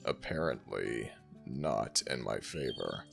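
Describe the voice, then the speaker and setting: An ancient jackal god, as a man acts him out, speaking in an extremely low, gravelly rumble. He talks slowly and lets his pitch roll up and down as he teases the listener affectionately. Soft guitar music plays faintly underneath. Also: animated, exaggerated voice. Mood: playful warm. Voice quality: very deep gravelly voice, slow and soothing. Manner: affectionate, playfully self-mocking. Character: ancient jackal god, gentle, teasing, fond. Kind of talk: ASMR audio roleplay.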